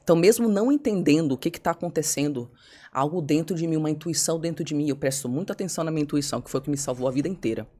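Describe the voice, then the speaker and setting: Woman, podcast guest. Quick, candid storyteller who acts out her own quotes. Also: sits low. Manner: fast, animated, acts out her own past words.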